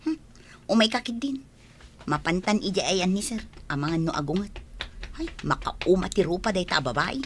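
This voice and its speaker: A woman's voice: lowish voice, animated, normal speed, slight background noise, lightly acted. A woman playing a bossy, grumbling older office utility worker who nags and complains in a comedy radio drama. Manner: nagging, scolding, complaining.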